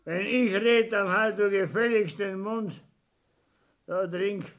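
An actor playing a bullying, self-pitying older husband in a 1960s German radio play, grumbling and scolding with a Bavarian accent. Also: male voice, sits high, takes it slowly, a bit bright, full character voice. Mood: scornful scolding.

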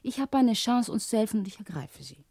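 An actress playing a loving but quietly defiant wife in an old German radio crime play, pleading and evasive. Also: female voice, fairly high pitch, big pitch swings, brisk, breathy edge, heavily acted.

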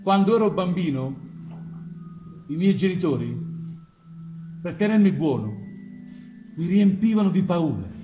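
A man with a southern Italian accent telling folk stories in a theatrical, unhurried way with dramatic pauses. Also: high-pitched, animated, phone-quality sound. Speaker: stage performer telling childhood stories. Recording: music behind the voice. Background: musical instrument.

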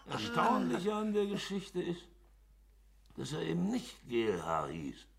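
A male actor in a German radio play, playing a jovial, sentimental man who spins anecdotes in an animated, drawn-out way. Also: high-pitched, gravelly, a crowd in the background, heavily acted. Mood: amused storytelling.

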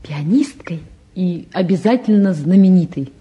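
An actress playing a calm young woman in a naturalistic drama, composed and lightly ironic as she probes and teases. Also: lowish voice, measured pace. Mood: wry, earnest. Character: calm, gently teasing young woman photographer visiting. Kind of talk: naturalistic stage and radio drama acting.

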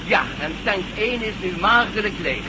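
A man's voice: sits high, expressive, normal speed. A man playing a cocky, sardonic pilot in a science-fiction radio play, wry and emphatic. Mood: sardonic, defiant. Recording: noisy old radio play, aircraft drone.

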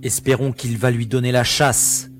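A man's voice: mid-range voice, little pitch movement, normal speed, soft background music, natural voice. A man playing a practical, wry space adventurer in a science-fiction audio drama, with a matter-of-fact delivery.

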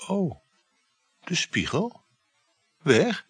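A man's voice: on the high side, big pitch swings, very slow, slightly rough, no room sound, faint music behind, full character voice. A man acting a clinging, evasive lover of a married woman in a naturalistic radio play, speaking in a hesitant, questioning way. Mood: surprised, probing.

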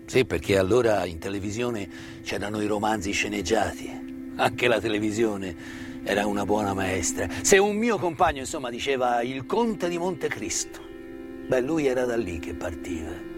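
A middle-aged man delivers a narrative stage monologue with deliberate, emphatic phrasing and dramatic pauses. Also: on the low side, big pitch swings. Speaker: stage actor and storyteller. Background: live instrumental music.

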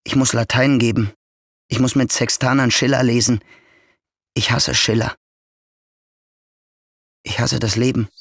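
This is a resentful young man in a radio play, grumbling about family, money and a dull future. His delivery is sullen and brooding, with a voice of ordinary pitch. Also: little pitch movement, measured pace, gravelly, pauses often, dry recording, clean studio sound. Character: bitter young male nephew, indebted and resentful.

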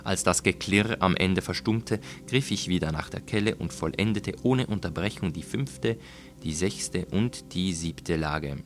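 Low voiced guy reading a gothic audiobook, deliberate and suspenseful, music behind him. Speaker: audiobook reader. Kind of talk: dramatic literary narration of a gothic tale.

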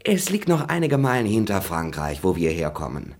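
A man's voice: huge pitch swings, normal speed, slight background noise, exaggerated voice. An actor playing a male servant who woos a maid with flowery, teasing talk in a radio play. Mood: flirtatious. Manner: flowery, teasing, playful pitch swings. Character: male servant posing as gallant, flirtatious.

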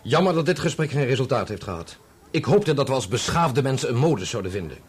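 An actor playing a wronged, bitterly sarcastic gentleman with a pointedly polite manner in a Dutch radio play. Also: medium pitch, big pitch swings, fast, breathy edge. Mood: scornful. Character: wronged, bitterly sarcastic gentleman defending his family's name.

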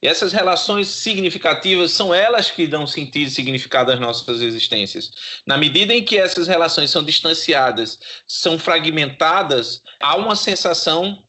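A man's voice: fairly high pitch, lively intonation, a little fast, pushed and bright. A man, speaking didactically as an expert guest in an interview. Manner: didactic, academic wording. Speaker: guest expert, psychologist and professor. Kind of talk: explanatory interview talk.

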